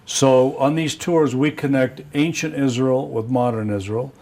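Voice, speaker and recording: A man hosting a relaxed conversation, with a voice of ordinary middle pitch. He backs up his guest with quick, short interjections. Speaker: host. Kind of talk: casual conversation.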